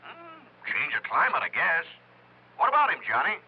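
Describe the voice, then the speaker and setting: Husky, lively reporter on the phone. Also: male voice, on the high side, normal speed. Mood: informative. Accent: American. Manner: slangy, chatty. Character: animated, gossipy male newspaper reporter, old pal. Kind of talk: 1950s American radio drama acting. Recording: muffled telephone-like old radio sound.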